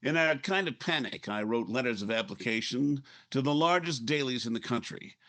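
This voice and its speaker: A man's voice: medium pitch, expressive, moderate pace, pushed and bright. A man playing a brash, driven newspaper editor in his forties, curt and self-assured, in a 1950s radio drama. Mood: driven, reflective. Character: brash, driven forty-year-old male newspaper editor. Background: music.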